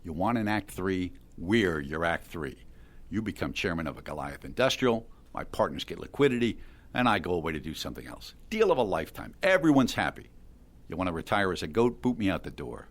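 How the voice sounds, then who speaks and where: A cool tech dealmaker with a low, husky voice. He is blunt and condescending yet controlled, moving his pitch around a lot as he drives a hard bargain in an audio drama. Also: sing-song, brisk. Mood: pressing.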